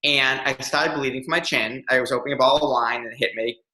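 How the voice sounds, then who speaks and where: Interview guest: young man, earnest and reflective, crisp bright voice, roomy echo. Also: mid-range voice, fairly even intonation, fast. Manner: earnest, reflective, many ums. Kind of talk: candid personal storytelling.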